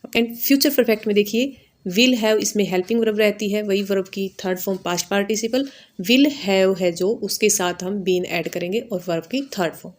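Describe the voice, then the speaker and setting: Indian lady teacher explaining grammar rules, medium pitch, goes over stuff again and checks you got it. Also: normal speed. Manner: instructional, repetitive, checking understanding with short tags. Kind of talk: explanatory grammar lesson, step by step.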